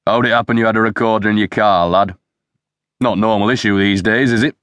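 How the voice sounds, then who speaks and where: A man's voice: lowish voice, fairly even intonation, fairly quick, a bit bright, no echo, studio quality, voice pushed up, natural voice. A man voicing a fat, sarcastic, blustering senior police detective in an audiobook. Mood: sly, sarcastic. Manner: bellowing, sarcastic, coarse. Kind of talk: audiobook character dialogue.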